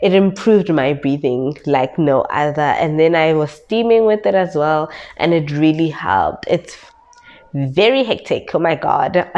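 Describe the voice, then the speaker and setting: A woman's voice: low voice, lively intonation, measured pace, a little mellow, highs cut off. Congested young woman from South Africa. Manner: repetitive, emphatic retelling. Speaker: vlogger. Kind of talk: casual vlog storytelling.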